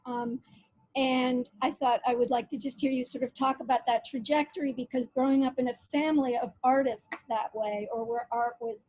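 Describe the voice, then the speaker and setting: A woman hosting a conversational interview over a video call, reading passages aloud and talking with frequent pauses and fillers. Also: fairly high pitch, darkish tone, no echo, dull audio. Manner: hesitant, reads quotes aloud, many fillers. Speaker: interview host.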